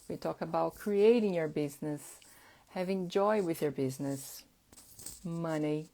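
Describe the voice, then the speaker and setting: A woman whose first language is Portuguese, hosting a casual live-stream chat at an unhurried pace, with frequent fillers. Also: low voice, a little mellow. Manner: unhurried, chatty, many fillers. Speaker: host.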